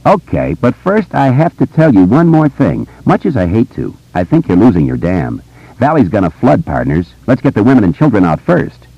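A man's voice: fairly low pitch, huge pitch swings, moderate pace, slightly rough, no echo, dull audio, pitched above the performer's own voice, heavily acted. A man voicing a hyperactive, wisecracking boy show-off with a brash, teasing, theatrical manner in an audiobook. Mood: showy clowning.

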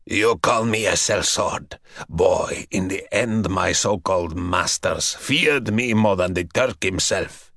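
A man voicing an ancient buried male vampire who speaks in slow, mocking, archaic phrases. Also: low-pitched, a bit bright, no echo, studio quality, pitched below the performer's own voice, exaggerated voice. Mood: menacing. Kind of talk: audiobook character voice.

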